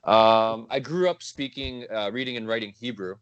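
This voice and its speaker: A man's voice: medium pitch, normal speed, smooth, dry recording, muffled recording. A man guest composer in a casual interview chat, rambling, laughing easily and correcting himself as he goes.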